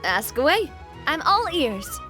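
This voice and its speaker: Fiery, high-voiced young boss lady. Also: very animated, slow pace, sharp tone, faint music behind, full character voice. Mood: upbeat. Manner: dramatic, emphatic, sassy. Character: fiery, fiercely loyal young woman boss, playful and confident. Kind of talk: video game voice acting.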